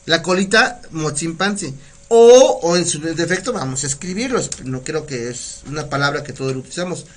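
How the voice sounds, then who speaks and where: A Mexican man with a Mexican Spanish accent hosting a casual live language lesson, chatty and laughing often, repeating words slowly for pronunciation. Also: on the high side, lively intonation. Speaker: community radio host teaching Nahuatl.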